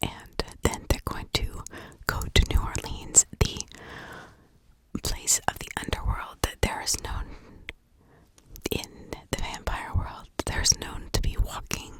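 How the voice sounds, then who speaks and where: A woman's voice: slow, rough recording. Woman doing whispered asmr storytelling, all breath no voice, chatty little asides. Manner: conversational, with rhetorical asides and check-ins. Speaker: ASMR true crime storyteller.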